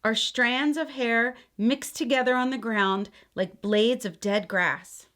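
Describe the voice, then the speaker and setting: A woman's voice: a little high, takes it slowly, fairly bright tone. A woman reading the part of a patient grandmother who looks back reflectively on her childhood in a picture book.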